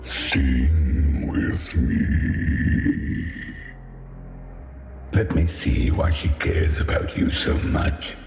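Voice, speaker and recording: A man playing a courtly deep-sea demon lord in a game cutscene, gravelly, wry and theatrical, with grandiose, polite phrasing. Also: extremely slow, long pauses, a little mellow, heavily acted. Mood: challenging. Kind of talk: scripted game cutscene dialogue. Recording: echoey, music behind.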